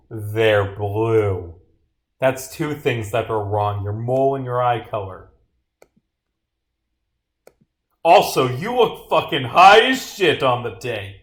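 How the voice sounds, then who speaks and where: A man's voice: mid-range voice, a bit bright. A man voicing a bumbling detective in a visual novel, cocky and rambling, easily confused. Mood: accusing.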